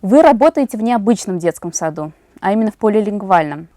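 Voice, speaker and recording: A woman's voice: medium pitch, animated, fast talker, heavy background noise. A woman working as an interviewer, putting prepared questions to a guest.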